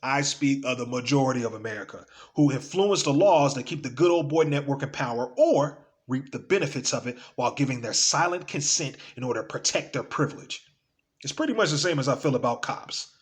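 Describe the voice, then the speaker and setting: Young American man, played by a male actor, bitter and frank stage monologue, widely varied pitch, emphatic pauses. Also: lively intonation, natural voice. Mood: defiant, frank. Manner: emphatic, conversational, swearing, with deliberate pauses. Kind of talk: dramatic theatrical audition monologue.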